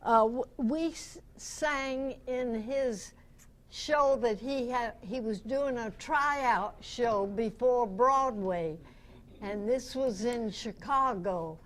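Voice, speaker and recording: A woman's voice: a little high, slow pace. A woman in her nineties, a veteran radio actress, reminiscing as a guest speaker in an anecdotal way. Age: 90s. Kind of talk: unscripted reminiscing to a club audience. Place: club meeting room, in front of an audience.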